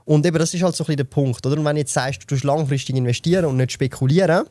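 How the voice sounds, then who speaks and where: A man's voice: fairly high pitch, very fast, mellow, no room sound. A man in his thirties with a Swiss German accent, explaining investing as a podcast guest, with lots of fillers and restarts. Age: 30s. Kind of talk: casual podcast chat.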